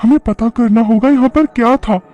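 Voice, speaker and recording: A man's voice: extremely high pitch, normal speed, highs cut off, faint music behind, voice pushed up. A man voicing a worried, suspicious woman who questions earnestly in a horror cartoon. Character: worried, suspicious woman investigating a haunted housing society.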